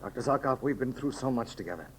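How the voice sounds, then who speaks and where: A noisy 1930s radio serial recording of a man acting as a noble young hero who has become an emperor. He speaks earnestly and haltingly, with melodramatic anguish, in a mid-pitched voice. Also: lively intonation, natural voice. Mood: grieving, halting.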